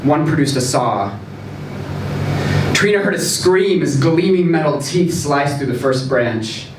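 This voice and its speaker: A man reads a short story aloud at a live event, taking his time with deliberate, dramatic phrasing. His mid-pitched voice is picked up from a distance in an echoey room, with clear background noise. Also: animated. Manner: deliberate, theatrical delivery with dramatic pauses.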